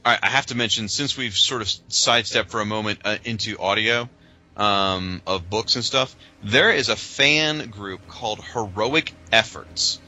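A man's voice: fairly low pitch, moderate pace, pushed and bright, dull audio. A man co-hosting a podcast, talking in an informal, opinionated, chatty way as he discusses things from loose notes. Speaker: podcast co-host.

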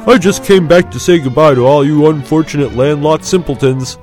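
A man's voice: medium pitch, expressive, normal speed, mellow, faint music behind, pitched above the performer's own voice, lightly acted. A man voicing a confident, slightly smug young cadet with a teasing, self-assured delivery. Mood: breezy.

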